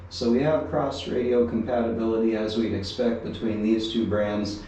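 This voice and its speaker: A man with a low-pitched voice and plenty of gravelly fry, talking off the cuff as a product reviewer. He pauses and thinks aloud, and there is faint noise behind him. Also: fairly even intonation, mellow, low-quality recording. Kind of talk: casual, unscripted radio gear review.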